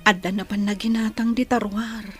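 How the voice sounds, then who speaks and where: An actress playing an abandoned, bitter young wife with a husky voice in a radio drama. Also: female voice, medium pitch, little pitch movement, normal speed, over music, close to an everyday voice. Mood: bitter, downcast.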